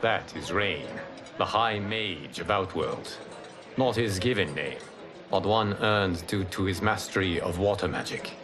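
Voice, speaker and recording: A man playing a calm, wise mentor who speaks in a measured, reassuring way. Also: low voice, music in the background. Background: game score.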